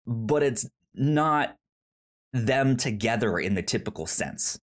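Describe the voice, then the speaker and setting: A man hosting a casual film review podcast, talking haltingly and often stammering over the start of words. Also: mid-range voice, expressive. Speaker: podcast host.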